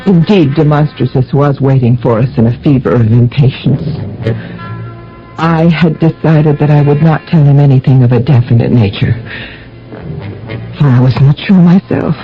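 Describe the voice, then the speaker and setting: An actress playing a grieving, imperious middle-aged mistress of the house in a radio mystery, speaking in formal, theatrical, hesitant phrases. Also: female voice, very deep voice, normal speed. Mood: uneasy, defensive. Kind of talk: 1980 radio mystery drama acting, narrating and dialogue. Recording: dull old radio recording, faint music.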